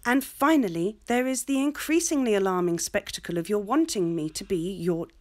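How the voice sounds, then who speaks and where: An actress playing a sarcastic, exasperated young Victorian fiancée in a period audio drama. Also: female voice, medium pitch, normal speed, natural voice. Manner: sarcastic, biting.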